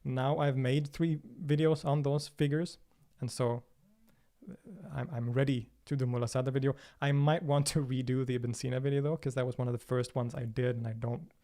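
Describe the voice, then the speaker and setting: Mellow-voiced Swedish man answering questions. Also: medium pitch, little pitch movement, normal speed. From Sweden. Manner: thoughtful, hesitant, many fillers and restarts. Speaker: channel host answering viewer questions. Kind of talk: casual livestream Q&A.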